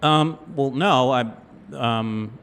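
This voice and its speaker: A man playing an earnest, sheltered young acting student in an improvised audio role-play, stammering, halting and apologetic. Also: mid-range voice, a bit bright, smooth. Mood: unsure, sheepish. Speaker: role-playing game player.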